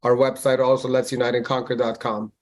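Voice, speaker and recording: A man in his forties, born in Germany to a Persian family, answering interview questions in a measured, reflective way with frequent filler words. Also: mid-range voice, little pitch movement. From Germany, of Persian family, moved to the US at ten. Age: 40s. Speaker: podcast guest, community organizer. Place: Miami.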